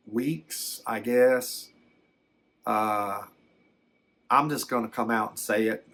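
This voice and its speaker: A man playing a gentle, rambling older man who recalls his alien abduction in a hesitant, folksy, reflective way for an ASMR story. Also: fairly low pitch. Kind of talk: first-person ASMR storytelling.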